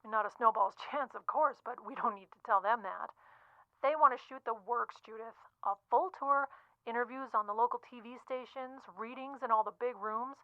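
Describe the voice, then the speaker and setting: An actress playing a brisk, upbeat, pushy literary agent in an audio drama, chatting breezily and cajoling in slang through a telephone filter. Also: female voice, on the high side, no echo. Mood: excited, cajoling. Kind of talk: audio drama acting, chatty phone-call delivery. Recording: tinny, narrow phone-line sound.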